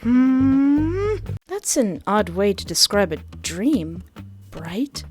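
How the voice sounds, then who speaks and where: A woman hosting a game playthrough, reading narration aloud and reacting casually in a playful, expressive way. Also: a little high, extremely slow, soft background music.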